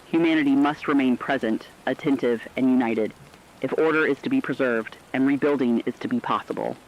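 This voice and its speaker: A man playing a solemn radio news anchor, reading a scripted crisis bulletin in a measured, earnest, formal way. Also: mid-range voice, lightly acted. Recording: dull lo-fi radio sound.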